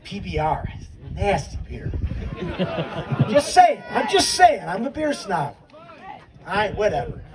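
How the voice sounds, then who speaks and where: A man's voice: sits high, sing-song, unhurried, pauses often, crowd noise. A middle-aged man telling a casual, wry story with swearing as a memorial speaker on an outdoor stage at night. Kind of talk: anecdotal storytelling speech. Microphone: handheld mic, filmed from the audience. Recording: distant phone capture with background noise.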